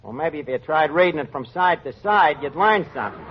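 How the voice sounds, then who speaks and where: A man's voice: a little high, animated, a little fast, a little hiss or hum, lightly acted. An actor playing a wisecracking tavern manager, sarcastically mangling his grammar in a 1940s radio comedy. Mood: sarcastic. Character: fast-talking, malapropism-prone wisecracking male tavern manager. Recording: dull vintage radio recording.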